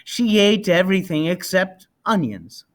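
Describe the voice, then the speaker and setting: Man as a patient storytelling parent, animated. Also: high-pitched, a little slow. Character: patient parent telling child a bedtime story.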